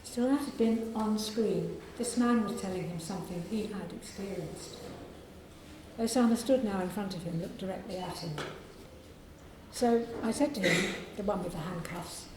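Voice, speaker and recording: A woman with a soft, croaky voice, an author reading aloud from her novel to an audience in a library. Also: mid-range voice, heavy reverb, background noise. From England. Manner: measured reading with clearing of the throat.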